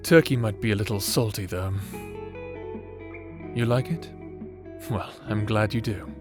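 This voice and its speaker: A man playing a doting, teasing evil necromancer husband in an intimate ASMR roleplay, speaking softly with flirtatious endearments. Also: lowish voice, unhurried, music in the background, lightly acted. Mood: content, attentive. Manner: soft, soothing, flirtatious, affectionate endearments.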